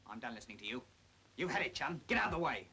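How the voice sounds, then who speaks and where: A man's voice: medium pitch, big pitch swings, very fast, sharp tone, slightly rough, exaggerated voice, rough recording. An actor playing a stubborn, honest young man in a 1940s British film drama. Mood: insistent. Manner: blunt, earnest.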